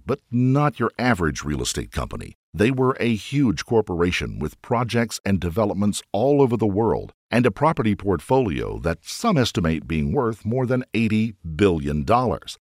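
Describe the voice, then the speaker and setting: A man's voice: on the low side, breathy edge, no room sound, studio quality. A man narrating a scripted true crime story in a dramatic storytelling manner, posing rhetorical questions.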